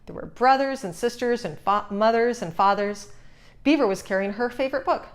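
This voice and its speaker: A woman reading a children's storybook aloud as narrator, expressive and suited to young listeners. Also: fairly high pitch, normal speed.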